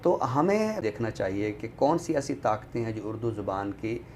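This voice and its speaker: A man with a Pakistani accent speaks as a guest in a thoughtful discussion, formal and deliberate, pausing often. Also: on the low side, animated, a little mellow, slight background noise. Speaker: guest commentator on a literary show.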